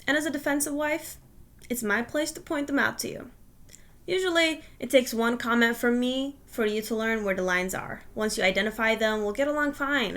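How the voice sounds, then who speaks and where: High-pitched woman reading a comic essay aloud. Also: fairly bright tone. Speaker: reader of a humorous personal essay.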